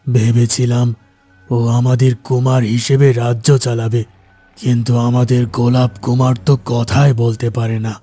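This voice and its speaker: A man voicing a sorrowful, kindly king in a cartoon folk tale, slow and solemn. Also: medium pitch, monotone, warm tone, soft background music, lightly acted.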